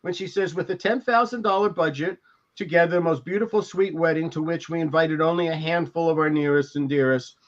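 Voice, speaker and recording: An older American man on a podcast, telling anecdotes and reading from a memoir in a halting, reflective way. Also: a little high, fairly even intonation. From Beverly Hills, California. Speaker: podcast co-host. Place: indoors at home, on a video call. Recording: dull lo-fi video call audio.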